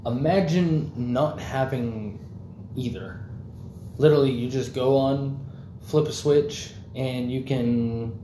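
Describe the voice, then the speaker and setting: A young man talks in loose, rambling casual chat, full of fillers and swearing. His voice is dark and mellow. The room is echoey, with a faint hum of background noise. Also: medium pitch, takes it slowly.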